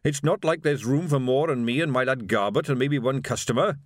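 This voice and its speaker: A man's voice: medium pitch, fairly quick, no room sound, studio quality, pitched above the performer's own voice, close to an everyday voice. A man voicing a literal-minded working-class shoemaker in an audiobook, plain-spoken, doubtful and rambling.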